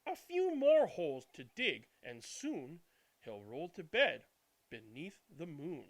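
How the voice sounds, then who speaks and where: A man narrating a children's bedtime storybook in an expressive, deliberate way. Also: on the high side, low-quality recording. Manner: animated, deliberate reading with hushed good nights. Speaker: read-aloud channel host.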